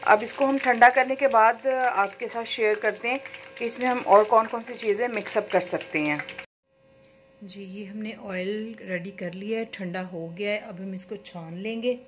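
A woman's voice: medium pitch, fairly even intonation, normal speed. Woman, beauty salon owner, friendly step-by-step kitchen demo, phone recording, faint background hum. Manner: chatty, explains step by step with fillers. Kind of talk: home cooking-style hair oil tutorial. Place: home kitchen at stove.

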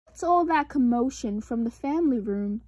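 A woman's voice: a little high, expressive, moderate pace, dark tone, no room sound, studio quality. A woman reading the part of a grown papa bear in a storybook, an authoritative father with an emphatic, questioning manner. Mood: demanding.

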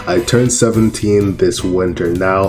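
A teenage guy from Canada talks casually to his viewers in a deep, low voice. He laughs at himself as he goes. Faint music sits quietly under his voice. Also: measured pace. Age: teens. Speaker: YouTuber.

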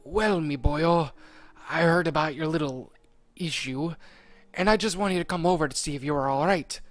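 A man voicing a gruff but kindly older male crab boss with a folksy way of talking. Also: on the high side, moderate pace, dry recording, close to an everyday voice. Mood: comforting. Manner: folksy, says "me boyo".

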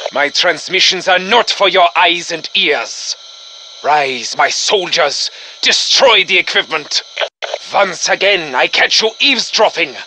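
Sharp, high-voiced German Nazi villain. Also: male voice, slight background noise, full character voice, rough recording. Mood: commanding menace. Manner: menacing, pompous, gloating. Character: villainous Nazi officer commanding undead soldiers, male, arrogant, vengeful. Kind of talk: theatrical video game villain acting.

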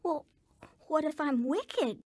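A woman's voice: high voice, animated, slow, darkish tone, no echo, studio quality. A woman playing a timid, earnest young girl in a radio drama, anxious and pleading.

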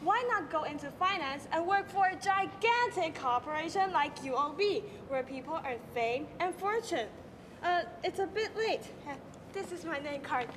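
Young woman, high voice, on stage. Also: animated, normal speed, background noise. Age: young adult. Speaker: student performer playing a kindergarten teacher. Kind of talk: school stage skit acting. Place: large auditorium stage. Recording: hall reverb with music behind.

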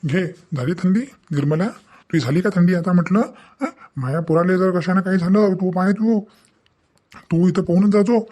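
A man's voice: sits high, moderate pace, mellow. A man voicing an angry, scolding father in a cartoon family comedy, repeating phrases for emphasis in a rural Varhadi Marathi accent. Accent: Varhadi Marathi from Vidarbha, Maharashtra.

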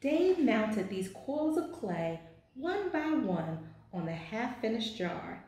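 A woman in her forties reading a children's picture book aloud with deliberate, expressive phrasing in an office. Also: fairly high pitch. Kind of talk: children's storybook read-aloud. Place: small indoor office. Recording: very echoey, roomy sound.

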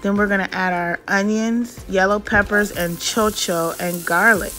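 A woman giving an unhurried, step-by-step cooking narration in a home kitchen, in a homely, instructional way. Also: mid-range voice, steady tone, pushed and bright. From Jamaican parents. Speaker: cooking vlogger. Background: background music.

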